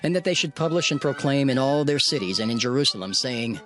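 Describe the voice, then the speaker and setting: Man, mid-pitched voice, formal narrator, measured, scripted scripture reading, faint music. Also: animated, fairly bright tone.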